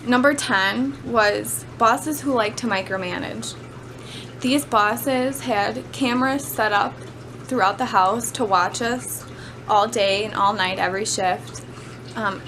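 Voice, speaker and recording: A woman giving an informal student speech about personal experience, speaking in a conversational, somewhat hesitant way. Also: a little high. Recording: clearly noisy amateur camera recording.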